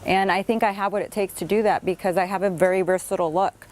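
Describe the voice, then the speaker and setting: A woman's voice: mid-range voice, fairly even intonation, quick pace, darkish tone. A young woman who speaks Spanish and English, talking earnestly and upbeat in an audition interview, with many fillers. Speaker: reality show contestant.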